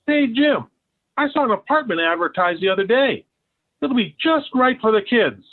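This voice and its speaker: A man's voice: extremely high pitch, sing-song, moderate pace, full character voice. An actor playing a jovial, conniving middle-aged American father, eager and folksy, in 1940s radio sitcom style. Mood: helpful. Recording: tinny, band-limited old radio recording.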